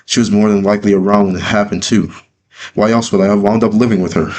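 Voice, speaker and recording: A man reading his own story as a dramatic first-person narration, earnest, with deliberate pauses. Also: lowish voice, steady tone, a little mellow.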